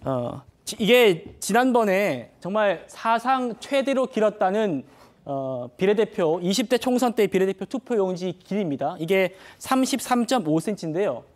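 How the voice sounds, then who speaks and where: A man anchoring a TV news talk show in a studio, with a measured and formal presenter delivery. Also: high voice, very animated.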